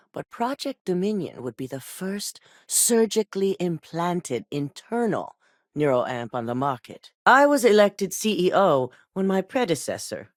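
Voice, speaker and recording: An actress voicing a composed, commanding female corporate CEO in a video game, speaking in a measured, authoritative, businesslike way. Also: fairly low pitch, no room sound, clean studio sound. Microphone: dry studio voice recording.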